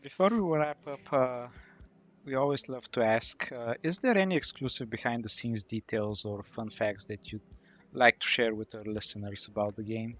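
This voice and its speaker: A man's voice: mid-range voice, moderate pace, fairly bright tone. A man speaking as the host and interviewer in a casual podcast conversation.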